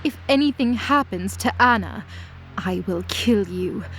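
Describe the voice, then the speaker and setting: A woman voicing a cold, vengeful goddess who speaks in a deliberate, threatening, dramatic way. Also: medium pitch, lively intonation, fairly bright tone, faint music behind, poor audio. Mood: cold threat. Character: cold, vengeful, menacing goddess, bitterly accusing.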